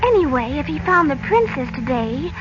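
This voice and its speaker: A muffled old radio broadcast with music underneath. a trusting young girl with a very high voice, innocent and anxious, pleading in polite, hesitant phrases. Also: sing-song, moderate pace. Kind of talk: 1940s radio serial acting.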